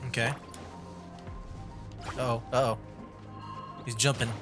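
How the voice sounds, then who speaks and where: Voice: mid-range voice, level tone, takes it slowly. A streamer giving casual gameplay commentary in a chatty way, reacting aloud while playing. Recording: music behind.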